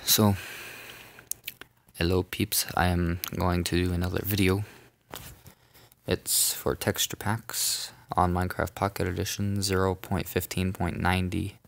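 A young man narrating a casual phone tutorial, rambling, with many ums and self-corrections, in a soft voice. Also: sits low, flat delivery, unhurried, vocal fry, no room sound. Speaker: tutorial maker. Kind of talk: casual screen-recorded tutorial.

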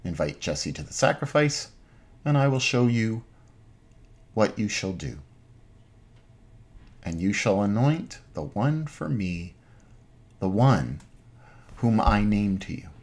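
A middle-aged man, an Anglican priest, giving an informal online sermon from his home den in measured, halting phrases. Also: on the low side, big pitch swings, darkish tone. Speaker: Anglican priest, parish pastor. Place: small home den with bookshelves.

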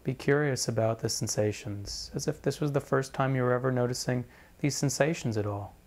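A man with a mid-pitched voice guides a meditation practice. He speaks like an instructor, in measured, deliberate phrases. He leaves space between one phrase and the next. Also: darkish tone. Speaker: meditation teacher.

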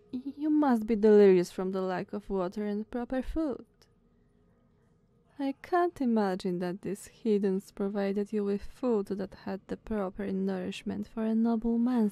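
A woman playing a devoted, anxious female knight in an ASMR roleplay, speaking formally and hesitantly with breathy tenderness. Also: fairly high pitch, warm tone, dry recording. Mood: tender, resolute. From Slavic country. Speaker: audio roleplay performer.

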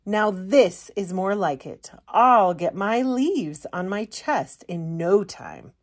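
A woman's voice: medium pitch, animated, slow. A woman voicing an eager, dramatic little female houseplant in a picture book, with emphatic exclamations. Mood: excited.

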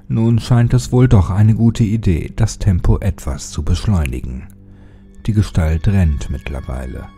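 A man narrating a scripted horror story in a dramatic, suspenseful manner with deliberate phrasing. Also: low voice, mellow.

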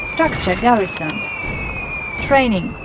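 An actress voicing a cool, detached military command announcer in a strategy game, in clipped status reports. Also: female voice, mid-range voice, sing-song, slow pace. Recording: loud battle noise and sirens behind.

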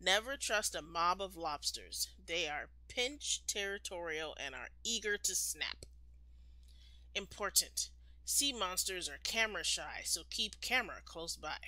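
A woman reading a children's picture book aloud expressively, like a storytime teacher, with deliberate, emphatic phrasing. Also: fairly low pitch, expressive, sharp tone, no echo, low-quality recording.

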